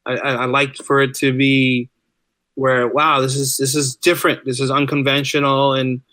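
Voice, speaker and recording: Bright-voiced man chatting in an interview. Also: mid-range voice, level tone, moderate pace, dry recording. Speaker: podcast guest, writer and podcaster. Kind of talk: casual interview chat.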